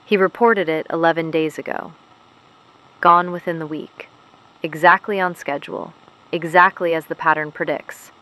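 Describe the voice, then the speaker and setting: Actress doing underground resistance radio host lady, deep voice, serious n measured, audio drama monologue. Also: fairly bright tone, low-quality recording. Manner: measured, grave, deliberate phrasing. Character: solemn, principled woman hosting a clandestine resistance radio show.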